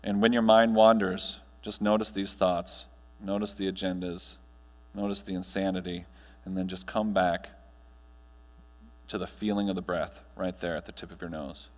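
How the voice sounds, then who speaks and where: A fairly deep-voiced American man in his thirties addresses a conference room as a keynote trainer. He gives measured, instructional guidance with deliberate pauses. His voice carries the reverb of a large hall. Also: little pitch movement. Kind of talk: guided breathing meditation and talk to an audience. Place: large hotel conference ballroom, on stage.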